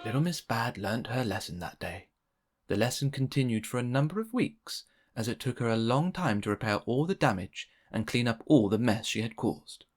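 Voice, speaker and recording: A man reads a children's story aloud in a mid-pitched voice. His narration is expressive and very animated, with pitch that moves freely. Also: moderate pace, noisy background. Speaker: storybook reader.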